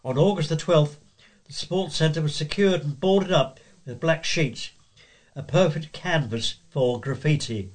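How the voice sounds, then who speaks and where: A man's voice: on the high side, unhurried. A man reading letters and local items aloud as a volunteer news reader.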